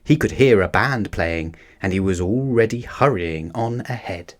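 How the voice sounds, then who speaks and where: Expressive male children's storyteller. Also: fairly low pitch, a little slow. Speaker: storyteller reading a children's picture book aloud. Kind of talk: expressive read-aloud narration for young children.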